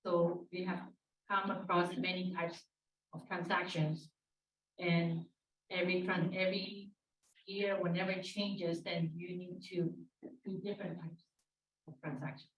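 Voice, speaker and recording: An older woman from Peru introducing herself as an escrow officer, speaking haltingly with fillers. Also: on the low side, flat delivery. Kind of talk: panel introduction. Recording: echoey room, distant mic.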